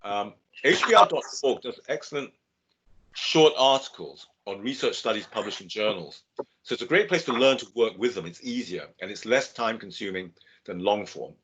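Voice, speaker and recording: A man giving a measured, steady webinar lecture over a video call. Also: lowish voice, a little hiss or hum. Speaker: guest lecturer. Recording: dull lo-fi video call audio.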